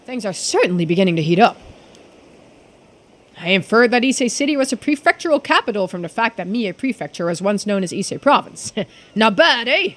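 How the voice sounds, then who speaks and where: A woman's voice: medium pitch, sing-song, fairly quick. A woman voicing an eager, genteel investigator in a video game, with florid, exclamatory speech. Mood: curious, pleased. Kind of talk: game character dialogue read aloud. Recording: music behind the voice.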